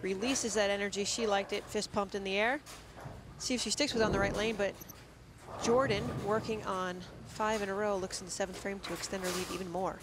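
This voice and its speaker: A woman at mid pitch gives instructive color commentary, walking through each bowler's technique. She talks steadily and methodically. Loud noise fills the background. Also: steady tone, brisk. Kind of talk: analytical sports commentary. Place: commentary booth at a bowling arena.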